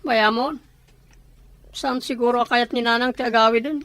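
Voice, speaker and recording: A woman's voice: on the high side, fairly even intonation, normal speed, a bit bright, dry recording, lightly acted. An actress playing a bitter, resentful older brother, still a boy, in a radio drama. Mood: sullen, dismissive. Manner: sullen, resentful.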